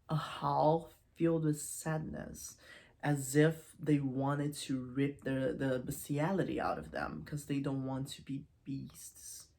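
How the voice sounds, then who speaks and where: A woman casually retelling and analysing a story in an informal, animated storytelling manner. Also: low voice. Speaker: video essayist and book reviewer.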